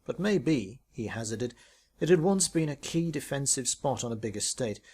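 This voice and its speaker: A man's voice: mid-range voice, animated, moderate pace, darkish tone, breathy edge. A man reading his own short story as a scripted narration.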